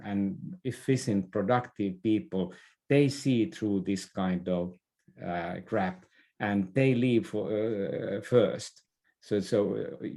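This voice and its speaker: Male interview guest, earnest and reflective. Mellow tone, unhurried pace, halting phrasing. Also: on the low side. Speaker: podcast guest, business expert. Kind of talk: conversational interview, explaining ideas.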